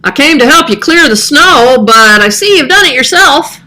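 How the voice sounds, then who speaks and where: Lady doing a friendly storybook neighbor kid, boy, high voice, eager to help. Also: lively intonation, moderate pace, pushed and bright. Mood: cheerful. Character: cheerful, helpful young neighbor boy. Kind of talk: children's storybook character voice.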